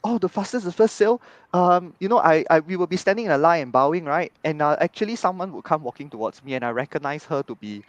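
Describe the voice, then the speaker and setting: A man, chatting as a guest on a video call, animated and tagging his sentences with "right?". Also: a little high, quick pace, hardly any pauses, no echo. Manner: animated, frequent "right?" tags. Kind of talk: casual storytelling in an interview. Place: home room on video call.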